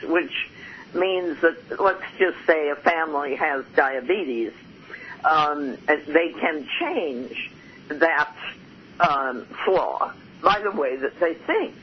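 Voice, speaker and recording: A man hosts a radio interview in a fairly high voice. He speaks slowly and haltingly, with frequent fillers and restarts. The sound is dull and lo-fi, with a faint hiss underneath. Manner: hesitant, with many fillers and restarts. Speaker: host. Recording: muffled lo-fi line, faint hiss.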